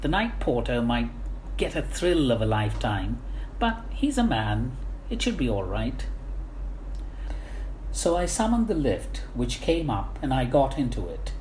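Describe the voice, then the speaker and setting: A woman reading a humorous first-person anecdote aloud with measured, wry understatement, like a storyteller. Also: extremely low pitch, sing-song, a little mellow. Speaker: guest reader of book excerpts. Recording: roomy echo, faint background noise.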